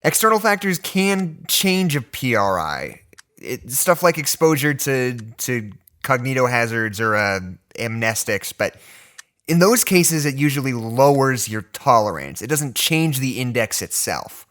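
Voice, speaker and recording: A man acting in an audio drama as an earnest, nerdy researcher who explains things in a halting, fussy way. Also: mid-range voice, big pitch swings, a bit bright. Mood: earnest explaining. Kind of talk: conversational audio drama dialogue.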